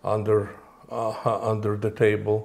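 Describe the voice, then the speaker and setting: A man in his forties from Moldova, a native Romanian speaker, answering interview questions in a halting way with many fillers and restarts. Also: lowish voice, monotone, gravelly, darkish tone. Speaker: interview guest.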